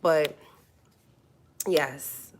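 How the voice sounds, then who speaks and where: A forty-year-old woman talks straight to her livestream viewers. Her voice sits very low, and she hammers her points home by repeating them. She calls listeners honey as she goes. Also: steady tone, extremely slow, slightly rough. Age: 40s. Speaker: livestream host. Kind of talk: casual livestream talk.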